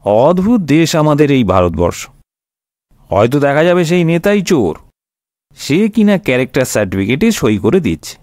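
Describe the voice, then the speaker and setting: A man's voice: on the high side, very animated, normal speed, a little mellow, dry recording, studio quality. A man with an Indian Bengali accent telling scripted satirical stories in a colloquial, wry, explanatory way. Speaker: comic storyteller.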